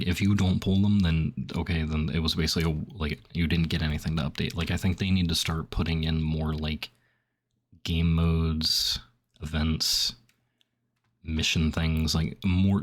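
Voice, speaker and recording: Young male streamer, very deep, slightly phlegmy, loose filler-heavy chatter. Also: little pitch movement, moderate pace. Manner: rambling, heavy on "like", reads options aloud then reacts. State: phlegmy throat. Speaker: gaming streamer. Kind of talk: casual commentary while filling out a survey.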